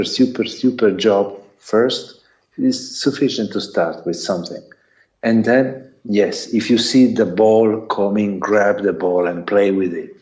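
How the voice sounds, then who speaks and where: A middle-aged man with an Italian accent giving a lecture to students, deliberate and repetitive, counting his points off one by one. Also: lowish voice, a little mellow. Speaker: sports lawyer giving a talk. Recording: echoey, dull lo-fi sound.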